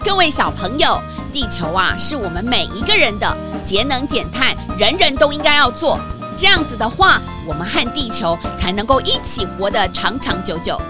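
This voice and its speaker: A woman narrator with a Taiwanese accent tells a children's story over clear background music. Her voice is high and moves up and down a great deal as she addresses young listeners. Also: big pitch swings, normal speed. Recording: music under the voice.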